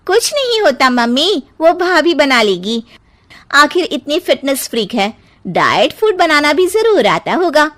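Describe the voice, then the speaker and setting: एक महिला द्वारा निभाई गई शिकायती युवा ननद. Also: female voice, high voice, big pitch swings, unhurried, a bit bright, voice pushed up, heavily acted. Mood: complaining. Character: sulky young woman, the grumbling sister-in-law.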